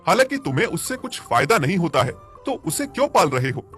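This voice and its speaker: A man voicing a friendly, practical village man in an animated moral story, conversational and advising. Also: high voice, a little fast, soft background music.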